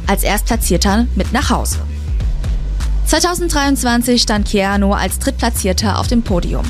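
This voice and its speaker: A woman reporter narrating a scripted news feature voice-over in a smooth, even way. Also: a little high, moderate pace, soft background music.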